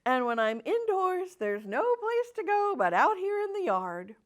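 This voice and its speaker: A woman's voice: very high-pitched, sing-song, pitched above the performer's own voice, heavily acted. A woman voicing a timid, hesitant young male pig. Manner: hesitant, slow and unsure.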